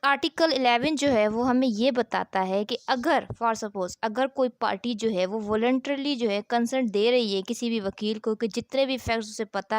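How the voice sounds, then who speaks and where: Lady teaching a class, kinda high voice, dry close sound, explains stuff step by step. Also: no echo. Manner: didactic, poses questions and answers them herself. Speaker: law lecturer. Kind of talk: explanatory lecture mixed with reading statute aloud.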